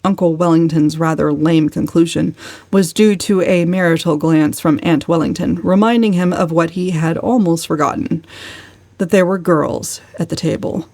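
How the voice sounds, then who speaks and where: A woman narrating a novel as a dramatic reading, with expressive, deliberate phrasing. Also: low voice, no room sound. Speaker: audiobook narrator.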